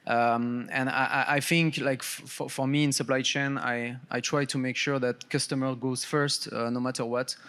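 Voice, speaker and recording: A man's voice: mid-range voice, level tone, normal speed, few pauses, a bit bright, smooth. A man with a French accent speaking on a conference panel as a supply chain director, hesitant with many fillers. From France. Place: conference stage.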